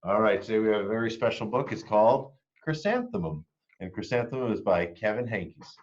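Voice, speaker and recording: A man giving an expressive, emphatic children's read-aloud, as school staff would, with some words drawn out. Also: lowish voice, a little fast, dull audio. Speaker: elementary school staff member reading aloud.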